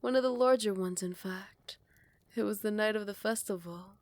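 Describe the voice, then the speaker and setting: A woman voicing a proud, centuries-old female vampire queen in an audio roleplay. She shifts between close, hushed whispered storytelling and sharp, scornful retorts, recorded on a close microphone. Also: mid-range voice, normal speed, close to an everyday voice. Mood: whispered reminiscence. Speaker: voice actor. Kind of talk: ASMR roleplay.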